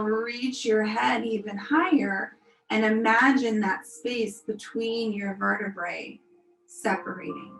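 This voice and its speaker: Slow-paced woman guiding a meditation. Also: fairly high pitch. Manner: soothing, unhurried, gently instructive. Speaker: yoga and meditation teacher. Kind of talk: guided meditation instruction. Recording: roomy echo, music behind. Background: music.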